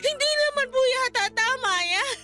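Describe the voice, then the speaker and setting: Very high, tearful young Filipina wife. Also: female voice, moderate pace, loud music behind the voice. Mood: wounded, exasperated. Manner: pleading, tearful. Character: distraught young wife and mother, respectful but wounded daughter. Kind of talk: Filipino radio drama acting.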